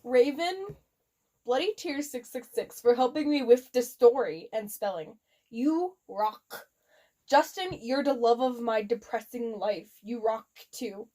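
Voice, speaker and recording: A woman's voice: fairly high pitch, lively intonation, measured pace, dark tone. A woman reading fan fiction aloud in a theatrical, giggly, comedic way. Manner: giggly, theatrical, often breaks into laughter.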